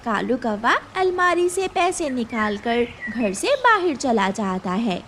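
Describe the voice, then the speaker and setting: A woman's voice: on the high side, sing-song, takes it slowly, fairly bright tone, slight background noise. A woman reading scripted narration for a children's cartoon story.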